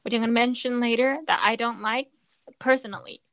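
A woman's voice: on the high side, steady tone, brisk, a bit bright, no room sound. A woman hosting a casual podcast chat, explaining at length with lots of fillers and restarts. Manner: chatty, explaining with many fillers. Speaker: podcast host.

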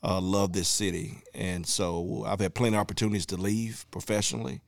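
Guy with a real deep low voice, laid back guest talk, dry sound. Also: fairly even intonation, brisk, gravelly, dry recording. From Memphis, USA. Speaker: podcast guest. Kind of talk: casual chat.

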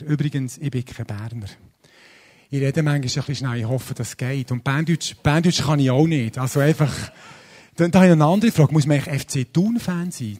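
A man in his forties with a Swiss German accent, preaching a sermon in a casual, lively, self-deprecating way with many fillers. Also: fairly high pitch. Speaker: pastor. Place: church service.

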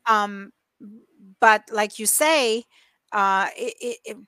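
A middle-aged woman chatting casually, animated and giggly, full of "like" and "you know". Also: medium pitch, slow, clean tone, no room sound. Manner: animated, giggly, filler-heavy. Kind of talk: casual chat.

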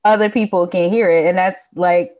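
A woman with a Southern American accent, a guest in a casual podcast chat. Her voice is somewhat low for a woman, and she talks expressively and laughs easily. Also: fairly even intonation, normal speed, darkish tone.

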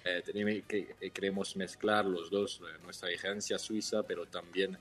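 Low-voiced Swiss man searching for words. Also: flat delivery, fairly bright tone. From Switzerland. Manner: careful, halting, searching for Spanish words. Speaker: guest, wine entrepreneur. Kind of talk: radio interview answers.

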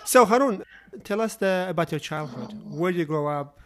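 A man hosting his podcast, welcoming a guest with loose, informal phrasing and quick questions. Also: high-pitched, expressive, a bit bright. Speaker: podcast host. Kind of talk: casual interview hosting.

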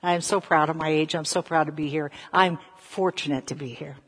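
An American woman in her fifties from the South, a preacher telling personal stories in a deliberate manner and putting questions to her listeners. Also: deep voice, steady tone, breathy edge. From Southern United States. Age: 50s. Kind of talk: sermon with personal storytelling. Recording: echoey hall with long reverb.

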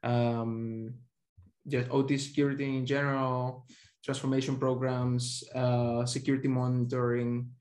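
A man's voice: medium pitch, level tone, unhurried, a little mellow. A man from Greece, speaking casually as a technical guest on a podcast. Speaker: podcast guest, OT security specialist. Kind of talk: casual explanatory chat.

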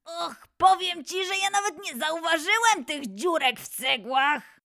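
A woman's voice: very high-pitched, animated, measured pace, creaky, sharp tone, full character voice. A woman voicing a curious, kind-hearted little boy lion in a children's audio fairy tale, exclamatory and wide-eyed. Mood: gentle.

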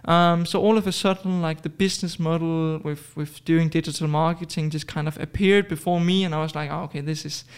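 A man's voice: on the high side, steady tone, fairly quick, few pauses, smooth. A man in his twenties from Denmark, chatting casually as a podcast guest, hesitant with many fillers and restarts. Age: 20s. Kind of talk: casual interview chat.

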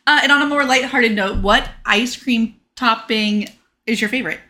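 Woman, highish pitch, crisp bright tone, chatty podcast co-host, dry playful humour. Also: moderate pace. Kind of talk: casual banter.

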